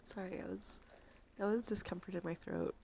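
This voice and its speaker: Soft half-whispering creaky woman streamer. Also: mid-range voice, steady tone, normal speed, faint music behind. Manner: laid-back, rambling, informal with swearing. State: congested nose. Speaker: livestream host. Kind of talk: cozy ASMR stream, casual chat to viewers. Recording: clear background noise, ambient sound.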